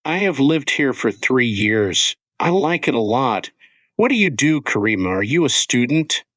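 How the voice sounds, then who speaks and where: A man co-hosting an English-learning podcast, speaking in clear, simple scripted sentences. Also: mid-range voice, big pitch swings, measured pace, a bit bright, dry recording. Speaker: podcast co-host.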